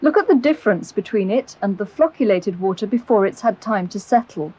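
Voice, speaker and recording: A British woman reading scripted narration for an educational film, explaining in a clear, measured way. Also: mid-range voice, expressive, mellow, muffled recording. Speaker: documentary narrator. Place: close, dry voice-over recording.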